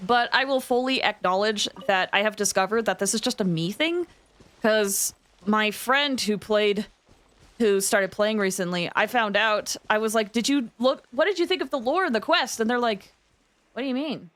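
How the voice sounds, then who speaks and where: A woman hosting a livestream, chatting casually with viewers in an expressive, emphatic way. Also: medium pitch, normal speed. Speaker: livestream host.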